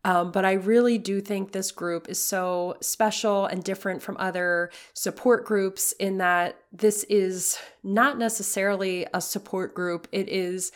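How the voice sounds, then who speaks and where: Raspy-voiced woman hosting a podcast. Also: medium pitch, steady tone, a little slow, hardly any pauses. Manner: earnest, encouraging, with frequent ums. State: raspy from a cold. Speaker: podcast host. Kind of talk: casual solo podcast talk.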